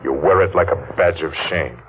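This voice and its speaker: An actor playing a menacing masked man seeking revenge, taunting and deliberate, in 1940s radio acting style. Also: deep voice, slight background noise, natural voice. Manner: taunting, slow and deliberate, laughs mockingly. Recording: muffled old radio recording.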